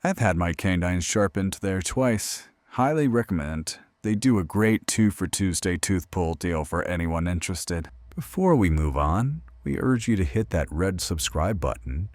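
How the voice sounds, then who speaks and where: A man playing a droll, macabre late-night radio horror host, theatrical and sardonic with deadpan humour. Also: low-pitched, measured pace, hardly any pauses, no room sound. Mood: wry. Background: faint music.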